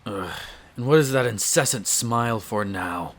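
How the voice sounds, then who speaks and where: A man's voice: on the low side, moderate pace, a bit bright. A man playing a proud, imperious vampire heir in an audio roleplay, speaking in formal, haughty phrases. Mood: haughty, irritated. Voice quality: haughty, clipped formal diction.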